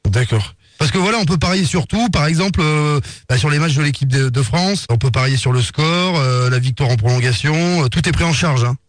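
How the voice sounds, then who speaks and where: A man's voice: on the high side, lively intonation, brisk, few pauses, dry recording, clean studio sound, natural voice. A man playing a polite, insistent marketing assistant for a betting company on a prank phone call, coaxing with hesitant sales talk. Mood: negotiating, coaxing. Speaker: prank caller.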